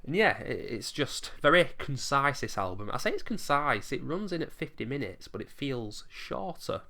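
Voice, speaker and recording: A man with a hoarse, fading voice, chatting enthusiastically through a countdown of his favourite albums and swearing casually now and then. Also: medium pitch, sing-song, moderate pace, pushed and bright. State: losing his voice, coughing. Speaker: music vlogger ranking albums.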